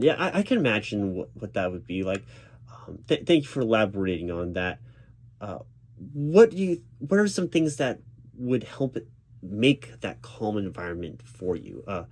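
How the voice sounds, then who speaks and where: A man doing a spoken ASMR job interview roleplay as a reassuring, gently professional interviewer who speaks a little hesitantly. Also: on the low side. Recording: clear background noise of keyboard typing. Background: computer keyboard typing.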